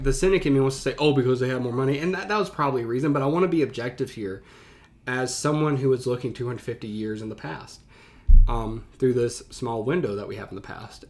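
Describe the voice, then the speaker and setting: A man's voice: medium pitch, a little mellow. Emphatic man giving casual reaction commentary. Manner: emphatic, conversational, pauses to stress key words. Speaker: teacher reacting to a reading.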